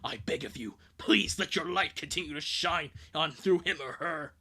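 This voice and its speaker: A man voicing a grave, wounded robot lover in a solemn, gravelly voice for a comic fan-fiction dub. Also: fairly high pitch, moderate pace, pushed and bright. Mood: grave, imploring.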